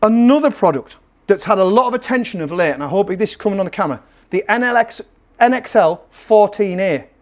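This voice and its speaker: A man's voice: high voice, lively intonation, brisk. A man presenting a casual product review to camera, emphatic and salesman-like, repeating phrases to drive his point home. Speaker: presenter and seller of PA gear.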